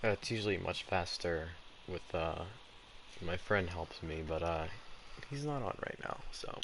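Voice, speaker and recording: A young man giving casual gameplay commentary, rambling and halting as if talking to himself. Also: sits low, animated, vocal fry, slight background noise. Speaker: gaming video creator. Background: loud game music.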